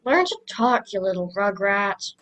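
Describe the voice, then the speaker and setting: A woman's voice: medium pitch, big pitch swings, a little slow, a bit bright, lo-fi sound, soft background music. A young female student playing a snarky, easily grossed-out older sister in a children's radio play. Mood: sulky, bored.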